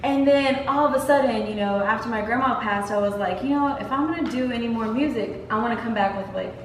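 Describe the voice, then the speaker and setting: Woman podcast host, chatty casual talk, echoey room, mic set far off, steady background noise. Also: a little high. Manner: chatty, quick to laugh along. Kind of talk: casual interview chat. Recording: roomy, distant mic, clear background noise.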